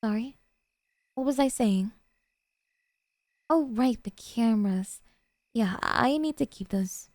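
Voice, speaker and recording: A woman playing a sweet, possessive, obsessive girlfriend in an ASMR roleplay, speaking softly and dotingly with a breathy intimacy. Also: a little high, expressive, takes it slowly, long pauses, no echo, clean studio sound.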